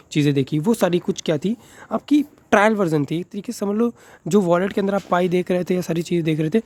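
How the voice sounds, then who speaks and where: A man's voice: on the high side, warm tone. A man with a sore throat, casually explaining things to viewers in a chatty way, mixing English into his talk with many fillers. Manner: Hindi-English mix, chatty, frequent fillers. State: sore throat, speaking softly. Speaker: crypto video creator.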